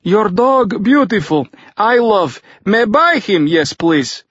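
A man voicing a bleary, blunt Russian drunk in his thirties, croaking in broken English with a Russian accent. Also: high voice, big pitch swings, takes it slowly, fairly bright tone, dry recording, lo-fi sound, pitched above the performer's own voice, heavily acted. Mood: blunt, eager. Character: hungover bearish Russian pilot, blunt and bargaining, mid-thirties.

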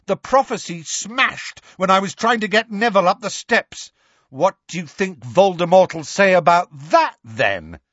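A woman's voice: on the low side, expressive, measured pace, no echo, highs cut off, voice pushed up. A woman playing a defiant teenage boy wizard from a storybook, taunting and mocking in a raised voice. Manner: shouting, mocking.

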